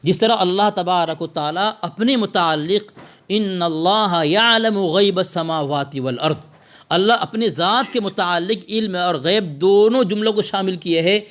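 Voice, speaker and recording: A man's voice: high-pitched, measured pace, fairly bright tone. A man arguing religion on a phone call in a combative, abusive, lecturing way, repeating his phrases for emphasis. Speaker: religious debater. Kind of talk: polemical religious argument over a phone call.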